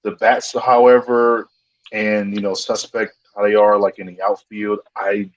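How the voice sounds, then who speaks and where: A man's voice: fairly low pitch, a little slow, no room sound, muffled recording. A man co-hosting a sports talk show, casual and slangy, often acting out what players might be thinking. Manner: animated, slangy, acts out players' thoughts.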